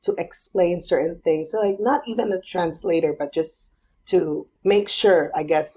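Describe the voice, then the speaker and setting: Young woman, low husky voice, mellow, talking thru it step by step like a guest on a chat. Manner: thoughtful, explains step by step. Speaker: business founder, podcast guest. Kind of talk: casual interview.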